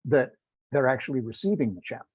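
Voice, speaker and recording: A man talking informally and technically on a conference call, halting and hesitant as he explains. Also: mid-range voice, lively intonation, breathy edge, dry recording. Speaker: meeting participant explaining software. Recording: dull, lo-fi conference call audio.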